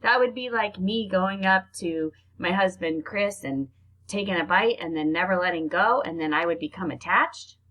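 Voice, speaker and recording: A woman reads nonfiction aloud in an expressive, informal way, like reading a book to children. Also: fairly low pitch, moderate pace, low-quality recording. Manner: expressive, informal reactions between passages. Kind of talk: read-aloud narration with casual spoken asides.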